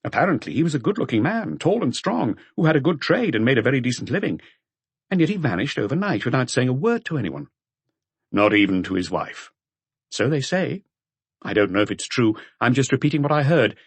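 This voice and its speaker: A male reader puts on a gossipy local from a mystery audiobook. The delivery is chatty and insistent, hedging as it goes. The pitch swings around freely, in a dry, close recording. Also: very animated, brisk, husky, dry recording. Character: gossipy working-class Parisian local, repeating hearsay.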